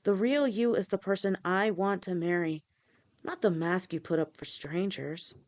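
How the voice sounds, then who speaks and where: A woman voicing a loving, protective lamia fiancée in an audio roleplay, speaking gently and reassuringly. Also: on the low side, moderate pace, close to an everyday voice. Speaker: voice actor.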